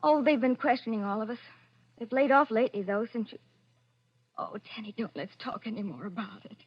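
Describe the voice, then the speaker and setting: A fugitive's devoted sweetheart in vintage radio melodrama, urgent and sharp-tongued. Her voice is high and breathy, caught on a muffled old recording. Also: brisk. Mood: troubled, urgent. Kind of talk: 1940s melodramatic radio acting.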